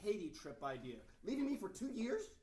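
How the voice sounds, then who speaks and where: A vain boyfriend, played by a man in a table read, with a higher-pitched voice. He is theatrical and self-important, easily wounded. The sound is roomy and off the mic. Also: sing-song, moderate pace, darkish tone, slight background noise. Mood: hurt, indignant. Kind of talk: table read acting of a comedy script. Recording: echoey room, distant mic.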